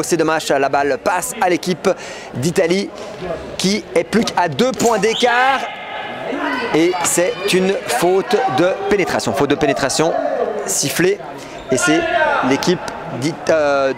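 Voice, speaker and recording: Male live sports commentator, slightly high voice, halting with fillers, bursts into shouts on big plays, noisy hall. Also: lively intonation. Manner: hesitant with frequent fillers, rises to shouts on scoring plays. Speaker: match commentator. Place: indoor sports hall at a match. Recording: loud crowd and heavy noise around. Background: crowd and bouncing balls.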